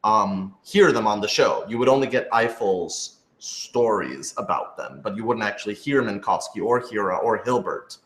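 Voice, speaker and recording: A man's voice: fairly low pitch, expressive, normal speed, few pauses, slight background noise. A man casually co-hosting a live Q&A, explaining things in an organized way with dry humor. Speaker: co-host and producer of an audio drama. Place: indoor room, on a couch. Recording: echoey, roomy sound.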